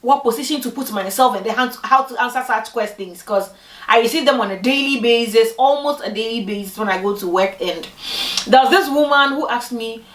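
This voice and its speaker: A young woman from Ghana telling personal stories to camera in a lively way, acting out what other people said. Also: fairly quick. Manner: animated, acts out quoted people with big pitch jumps. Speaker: vlogger. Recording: echoey room, voice from a distance.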